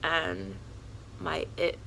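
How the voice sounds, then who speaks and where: A young woman with a raspy, hoarse voice from a cold, chatting casually and a little nervously through a makeup tutorial. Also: deep voice, big pitch swings, extremely slow, bright, forceful tone, slight background noise. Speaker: beauty vlogger.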